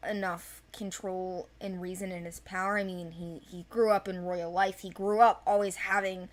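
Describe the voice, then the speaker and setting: A young woman chatting informally and unscripted as she presents characters from an animated series, with a playful, self-deprecating manner. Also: on the low side, moderate pace. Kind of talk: casual unscripted character overview.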